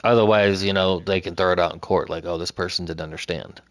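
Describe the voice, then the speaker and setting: A man in casual chat, recounting a run-in and quoting others in a lower, quieter voice. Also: steady tone, quick pace, no echo.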